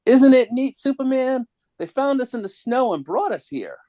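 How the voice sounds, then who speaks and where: An excitable young boy from a comic book story, acted out by a man. The voice is pushed high and swings widely in pitch, with an earnest, exclaiming delivery. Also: moderate pace. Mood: excited. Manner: pleading, exclaiming.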